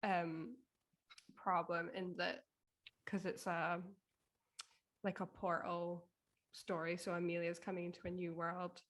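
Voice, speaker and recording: A woman talking casually as a guest, thinking aloud in a halting, self-deprecating way as she asks for advice. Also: on the low side, level tone. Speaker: guest, fiction author. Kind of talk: casual chat, asking for advice.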